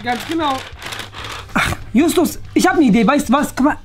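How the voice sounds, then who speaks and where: A woman's voice: sits high, animated, normal speed. A woman voicing a cheeky, boastful schoolboy who teases and repeats himself dramatically in a children's play story. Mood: teasing. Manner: teasing, repeats words, dramatic. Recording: music behind the voice.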